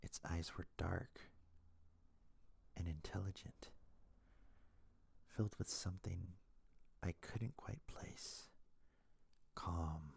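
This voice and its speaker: A man softly telling a scary story in ASMR style, breathy and hushed, in short deliberate phrases close to the mic. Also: low voice. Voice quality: breathy, whispery, husky. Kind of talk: ASMR soft-spoken scary story narration. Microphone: close mic, dry.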